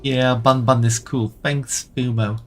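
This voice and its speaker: A man with a German accent, talking casually with his chat while he plays. His voice sits at an ordinary pitch, and he answers questions in a friendly way. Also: little pitch movement, slow, a little hiss or hum. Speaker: streamer.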